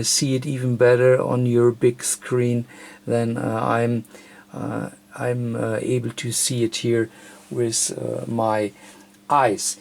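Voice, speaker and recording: A man presenting an unscripted hands-on electronics tutorial, thinking aloud in a halting, hesitant way. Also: mid-range voice, vocal fry, darkish tone. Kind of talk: unscripted hands-on technical demonstration.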